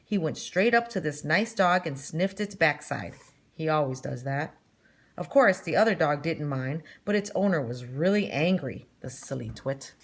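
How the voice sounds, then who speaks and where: A woman's voice: low voice, animated, moderate pace, a little hiss or hum. A woman voicing a cheerful young girl in a storybook read-aloud, frank, friendly and chatty. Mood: warm, chatty.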